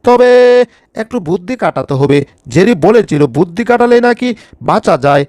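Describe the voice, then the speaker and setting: High-pitched anxious cartoon bulldog, voiced by a man. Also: lively intonation, normal speed, darkish tone, no room sound, studio quality. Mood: scolding, worried. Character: anxious, scolding male bulldog taking charge. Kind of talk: cartoon fan dub.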